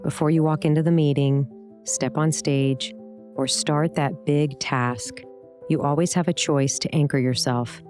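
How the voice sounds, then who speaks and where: A meditation instructor guiding a scripted practice in a calm, soothing, gentle way, leaving long pauses. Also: mid-range voice, faint music behind.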